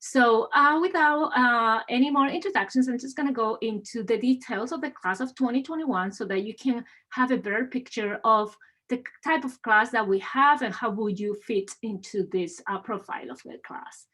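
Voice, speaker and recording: A woman with a Spanish accent presents an admissions webinar over a video call. Her voice sits a little high for a woman. She talks in an upbeat, welcoming way and fills her pauses with frequent "uh"s. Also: sharp tone. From Spain. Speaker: assistant dean hosting an admissions webinar.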